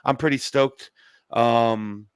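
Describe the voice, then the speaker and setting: Hoarse man hosting casual sports talk. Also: mid-range voice, steady tone, takes it slowly, dry recording. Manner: rambling, many fillers and restarts. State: slightly hoarse from yelling. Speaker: podcast co-host.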